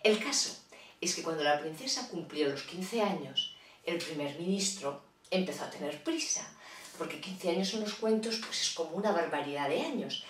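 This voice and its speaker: A woman's voice: on the low side, expressive, normal speed, reverberant, far from the mic. Playful woman telling a fairy tale. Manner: playful, chatty asides to listeners. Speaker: storyteller. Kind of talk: children's storytelling.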